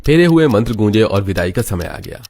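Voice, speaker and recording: A man's voice: fairly low pitch, expressive, fairly quick, darkish tone. A man narrating a scripted dramatic family story as a storyteller. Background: background music.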